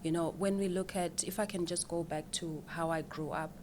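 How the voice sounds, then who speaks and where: A woman with a notably low-pitched voice explains her points patiently as a guest. She often stumbles over and repeats a word while thinking. A faint hiss sits under her voice. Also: steady tone, moderate pace. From Zimbabwe. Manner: explanatory, with frequent repeated words and small laughs. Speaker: financial planner guest. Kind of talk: casual interview answers.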